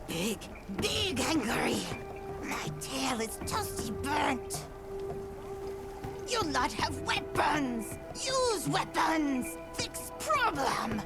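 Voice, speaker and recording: A woman voicing a small, agitated creature villager in a video game, speaking in halting, broken phrases. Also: high voice, very animated, over loud music, full character voice. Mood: alarmed, indignant. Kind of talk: video game character acting.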